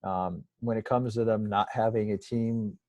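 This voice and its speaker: A man's voice: fairly low pitch, steady tone, moderate pace, a little mellow, dry recording, muffled recording. A man giving a casual, rambling sports interview as a guest broadcaster, in a hesitant, conversational way.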